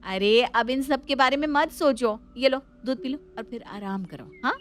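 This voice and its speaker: A woman's voice: sits high, moderate pace, slight background noise, close to an everyday voice. A woman voicing a motherly, protective young sister-in-law in a family story. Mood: caring. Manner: fussing, protective, soothing.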